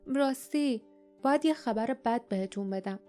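A woman's voice: a little high, warm tone, soft background music, lightly acted. A woman voicing a cunning middle-aged male shopkeeper who talks about himself in the third person in a wheedling way, in an audiobook. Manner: cunning, wheedling, calls himself poor Tom.

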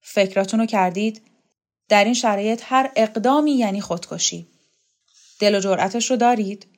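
A woman's voice: medium pitch, lightly acted. Woman voicing a grave, bitter old man. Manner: grave, cynical. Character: weary, bitter, sceptical old male revolutionary leader.

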